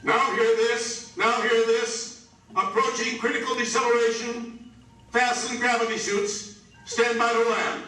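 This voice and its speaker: A man acting as a dutiful spaceship crewman in a radio drama, making crisp military call-outs. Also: very high-pitched, flat delivery, unhurried, sharp tone, a little hiss or hum, heavily acted. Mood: urgent, official. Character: brisk dutiful male spaceship crewman calling announcements. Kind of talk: old-time science fiction radio acting. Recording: very echoey room, distant mic.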